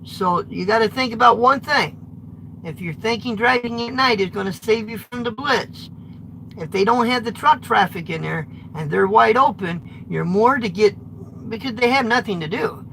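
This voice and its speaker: An American man with a high-pitched voice, a trucker vlogger chatting live with viewers from his truck cab in a blunt, rambling way. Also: expressive, moderate pace, gravelly, pushed and bright, slight background noise, low-quality recording. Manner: rambling, blunt, restarts often. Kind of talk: live-streamed chat with viewers.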